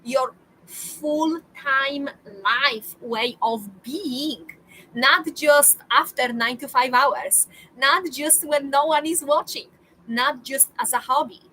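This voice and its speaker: A woman's voice: sits high, slow pace, bright, forceful tone, a little hiss or hum, poor audio. A woman, giving a motivational talk to her viewers and repeating phrases for emphasis. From Poland. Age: 40s. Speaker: spiritual coach.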